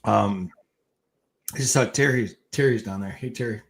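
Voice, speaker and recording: A man's voice: on the low side, normal speed, vocal fry, breathy edge. An American man giving plain-spoken opinions in casual political chat on a livestream. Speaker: livestream co-commentator.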